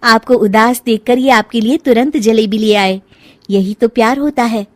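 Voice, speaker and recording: A woman voicing a caring young daughter-in-law who gently chides, in a cartoon moral story. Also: fairly high pitch, normal speed, no room sound, lightly acted. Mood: earnest.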